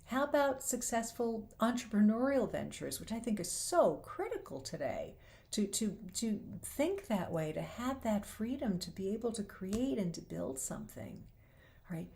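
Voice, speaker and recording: A woman's voice: mid-range voice, very animated, moderate pace, vocal fry, darkish tone. A woman hosting a faith-based talk show, speaking earnestly and encouragingly, her voice a little worn. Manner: earnest and encouraging, quoting scripture. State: slightly losing her voice.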